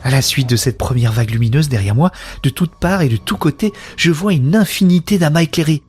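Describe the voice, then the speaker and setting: A man's voice: fairly high pitch, a little fast, darkish tone, slightly rough, soft background music. A man playing a wonderstruck woman who narrates a science-fiction audio drama in the first person, in earnest short phrases. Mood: awed, exhilarated. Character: wonderstruck woman newly linked to a shared consciousness.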